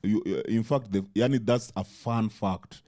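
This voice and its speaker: Animated man with a big, dark voice. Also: lowish voice, normal speed. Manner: animated, swears freely, slips into Swahili words. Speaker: podcast co-host. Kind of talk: casual podcast chat.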